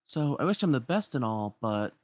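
A man's voice: medium pitch, sing-song, moderate pace, no echo, clean studio sound, lightly acted. A man voicing a friendly, easygoing young street lad from a game in a breezy, informal way. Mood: wistful, upbeat.

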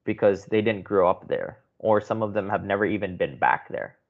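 A man's voice: fairly low pitch, normal speed, gravelly. A man from the Philippines, a podcast guest, talking in a loose conversational way and often checking in with "right?". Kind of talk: podcast interview. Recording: muffled lo-fi audio.

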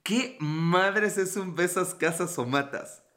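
Mexican host, 30s, casual live chat, swears and jokes, bright tone. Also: male voice, high voice, slow. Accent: Mexican Spanish. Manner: joking, profane, playful. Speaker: podcast host. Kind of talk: casual live-stream chat reading comments.

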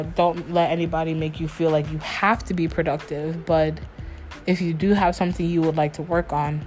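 Chatty woman, low voice. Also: a little mellow. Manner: loose, chatty, with frequent restarts and slang. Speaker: podcast host. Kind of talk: casual solo chat.

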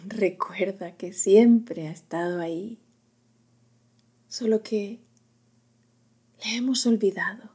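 A woman guiding a meditation in a soft, whispery, soothing voice, in short hushed phrases with long pauses. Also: medium pitch. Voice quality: breathy, whispery. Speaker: meditation guide.

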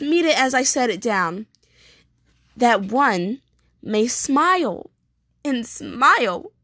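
A woman playing an anguished, vengeful young prince in a declamatory Shakespearean stage monologue with dramatic pauses. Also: a little high, sharp tone, dry recording, clean studio sound, full character voice. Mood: bitter, outraged. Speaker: performer rehearsing a soliloquy. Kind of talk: theatrical Shakespearean monologue, repeated takes.

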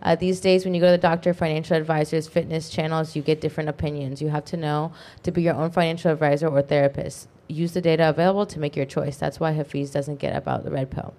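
A woman co-hosting an online talk show, reading viewer comments aloud quickly, with frequent fillers. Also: deep voice, level tone, mellow. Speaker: co-host reading super chats. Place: online video podcast studio.

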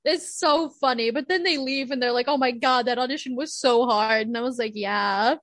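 A young woman co-hosting a casual podcast chat, animated, laughing often and acting out quotes. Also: on the high side, moderate pace, no echo, clean studio sound. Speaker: podcast co-host.

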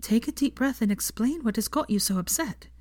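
A woman voicing a caring, determined young woman in love, gentle, earnest and reassuring, in a romantic story reading. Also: on the high side, lively intonation, fairly quick, dry recording, clean studio sound, voice pushed up, close to an everyday voice. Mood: worried.

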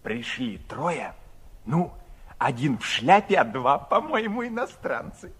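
A man's voice: medium pitch, big pitch swings, fairly bright tone, breathy edge, exaggerated voice. An actor playing a hesitant, pedantic, awkward young man in a 1960s radio play. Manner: halting, deliberate, bumbling.

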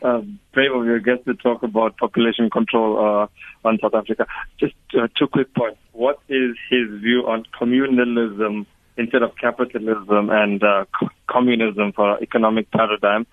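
A hesitant man calls in to a radio show over a tinny, phone-like line. He pauses often to gather his points. His voice stays flat, with a dry sound. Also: fairly low pitch, dry recording. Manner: hesitant, many uh fillers. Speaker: caller.

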